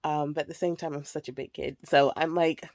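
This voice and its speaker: A woman's voice: deep voice, steady tone, a little fast, darkish tone, no room sound, studio quality. Chatty thirty-something woman, a little nasal. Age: 30s. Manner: rambling, laughs often, lots of "like" and "um"; packing clothes while talking. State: slightly nasal from a cold. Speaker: vlogger. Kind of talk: casual chat. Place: child's bedroom at home.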